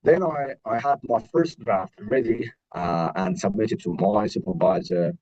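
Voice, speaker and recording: A man with an Afghan accent giving an informal academic webinar talk as a researcher, haltingly searching for words and repeating himself. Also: on the low side, darkish tone. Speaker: PhD researcher and university teacher presenting. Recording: dull, roomy webinar audio.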